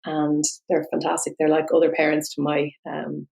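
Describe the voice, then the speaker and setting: A woman's voice: low-pitched, completely flat delivery, normal speed, vocal fry, darkish tone. A woman in her forties with a Dublin Irish accent, a guest chatting in an interview, laughing often and restarting her sentences. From Ireland. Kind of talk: conversational interview.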